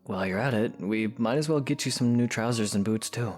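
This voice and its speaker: A man's voice: medium pitch, brisk, close to an everyday voice. A man playing a kind, easygoing young adventurer with a casual, reassuring manner in a fan audio drama. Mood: matter-of-fact. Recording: music under the voice.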